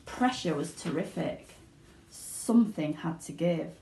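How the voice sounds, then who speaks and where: Female children's story reader: dramatic, expressive, highly varied intonation, echoey room. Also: a little slow, a little hiss or hum. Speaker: storybook reader, school teaching assistant. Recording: roomy indoor echo.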